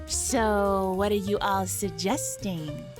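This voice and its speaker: A woman's voice: medium pitch, slow, music in the background, natural voice. A woman playing a headstrong, impatient young mecha pilot and inventor in an audio drama. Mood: resigned, sarcastic.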